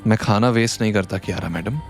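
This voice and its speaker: A man playing a calm, confident, quietly stubborn rustic young man in an audio drama, unhurried and wry. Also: on the low side, faint music behind, lightly acted. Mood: unbothered. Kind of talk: Hindi audio drama acting.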